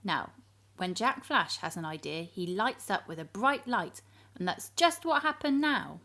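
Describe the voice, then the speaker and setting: A woman reading a storybook to young children in an animated, expressive manner, addressing her listeners directly. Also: medium pitch, measured pace.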